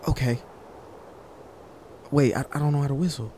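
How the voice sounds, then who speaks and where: An actor playing a timid, eager and awkward new deputy in a western audio drama, hesitant and stammering. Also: male voice, medium pitch, steady tone, normal speed, dark tone, slight background noise, natural voice. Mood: nervous.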